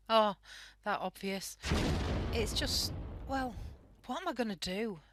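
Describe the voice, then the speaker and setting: A woman plays a caring young pregnant wife in wartime Britain, for a radio drama. She has a British accent and a medium-pitched voice, earnest and worried in manner. Faint noise and clicks sit behind her. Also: takes it slowly, natural voice. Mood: anxious. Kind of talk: radio play acting.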